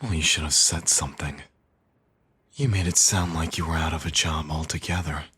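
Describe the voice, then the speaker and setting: A man's voice: very deep voice, steady tone, normal speed, sharp tone, breathy edge, full character voice. A man playing a cocky villain in an intimate audio roleplay, with a teasing, smug, flirtatious drawl. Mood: persuasive, coaxing.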